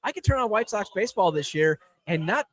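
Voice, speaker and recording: A man's voice: high-pitched, lively intonation, a little fast. A man hosting a casual sports talk show, putting questions and opinions to fellow guests. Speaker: podcast host.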